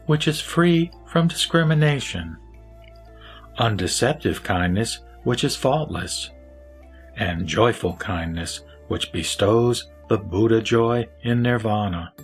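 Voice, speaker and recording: Male narrator, lower register, solemn formal scripture reading over soft music. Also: lively intonation, slow pace.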